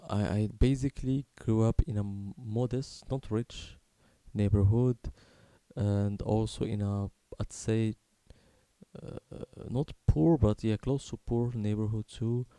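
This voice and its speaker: A man in his twenties from Morocco tells a personal story unscripted, in a halting, candid, casually sweary way. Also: on the low side, fairly even intonation, dark tone, no echo. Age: 20s. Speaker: video creator telling his life story.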